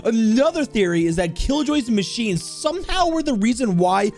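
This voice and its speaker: A man's voice: extremely high pitch, very animated, normal speed, darkish tone, soft background music. A man hosting a countdown video on video game lore, talking in an enthusiastic commentary style.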